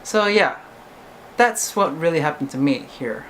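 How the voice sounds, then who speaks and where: A man's voice: on the high side, expressive, measured pace, fairly bright tone, a little hiss or hum. A young man, a singer-songwriter whose first language is English, talking casually to camera and ending his points with "right?". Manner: chatty, ends points with "right?".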